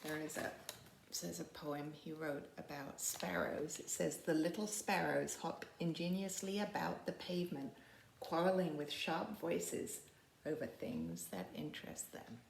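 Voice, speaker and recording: A woman reading a picture book aloud like a teacher, in expressive storytelling with casual, simply worded asides and deliberate, phrase-by-phrase delivery. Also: low-pitched, gravelly, slight background noise. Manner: explains to young listeners in simple, informal words. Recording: roomy, echoey sound.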